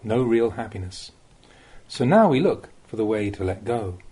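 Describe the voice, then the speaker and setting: Mellow, husky man reading spiritual teachings expressively. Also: fairly low pitch, animated, moderate pace. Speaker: monk reading Buddhist teachings. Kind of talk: read-aloud Dhamma talk, audiobook narration.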